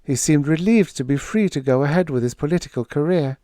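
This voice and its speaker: A man's voice: fairly high pitch, fairly quick, mellow, dry recording, studio quality, voice pushed up, natural voice. A man voicing a wistful, dutiful young princess for an audiobook, in an earnest, plaintive delivery. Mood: regretful. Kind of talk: audiobook character voice.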